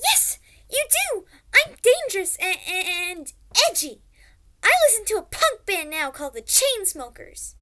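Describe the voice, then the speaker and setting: Casting: young woman playing defiant, proudly wicked girl, very high bright voice, radio play melodrama. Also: very animated, a little slow, full character voice. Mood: bratty, defiant. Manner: theatrical, indignant. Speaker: student actor in radio play.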